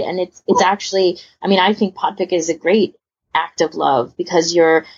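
Low, gravelly-voiced woman podcast host. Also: a little mellow, highs cut off. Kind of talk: casual discussion, reading out listener comments.

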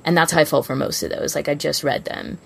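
Low, creaky-voiced woman chatting away. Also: steady tone, fast talker. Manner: effusive, rambling. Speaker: podcast co-host. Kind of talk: casual fan chat.

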